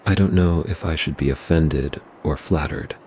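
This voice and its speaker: A man's voice: extremely low pitch, normal speed, warm tone, slight background noise. A man voicing a cocky, possessive young mafia boss in an ASMR roleplay, smug and teasing. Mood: flustered. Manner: smug, teasing, turns flustered.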